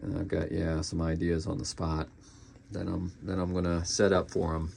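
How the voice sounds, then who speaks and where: Male collector-reviewer, lower-pitched, informal and unscripted, pausing to think mid-sentence. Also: flat delivery, creaky, darkish tone, slight background noise. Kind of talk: casual unscripted product review.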